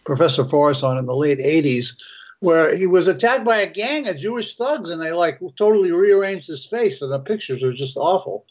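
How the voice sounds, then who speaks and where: A man's voice: on the high side, animated, moderate pace, highs cut off. A man hosting a casual panel discussion, speaking in a mocking, insistent way. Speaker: show host.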